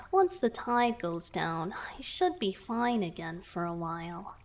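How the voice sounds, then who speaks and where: Woman voicing a slow, soft-spoken young merman boyfriend. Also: mid-range voice, sing-song, slight background noise. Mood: shy, tender. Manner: soft, hesitant, intimate, often dropping to whispers. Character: young male merman boyfriend, shy, teasing, affectionate. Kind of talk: romantic ASMR roleplay.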